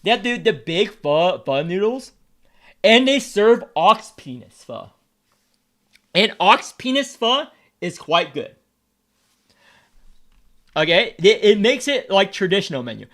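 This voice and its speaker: Young man, casual streamer, provocative and crude, high voice that swings a lot. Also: sing-song, slow pace, long pauses, fairly bright tone. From United States. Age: young adult. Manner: brash, joking, crude and provocative.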